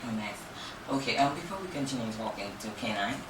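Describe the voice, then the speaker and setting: A man's voice: mid-range voice, animated. A man hosting a radio interview, playful and teasing with his guest. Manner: playful, teasing, quick to laugh. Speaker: radio show host. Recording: echoey room, distant mic, background noise.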